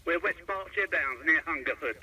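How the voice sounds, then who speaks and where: A man's voice: on the high side, normal speed, sharp tone. A British man heard over CB radio, running a net with informal, rambling calls and chat. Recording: very noisy, distant CB radio reception.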